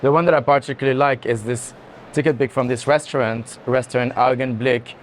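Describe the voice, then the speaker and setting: A man giving unscripted art tour commentary in a halting, explanatory way. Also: medium pitch, fairly even intonation. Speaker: art gallery director in conversation. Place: indoor art fair hall. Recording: clear background noise of busy venue.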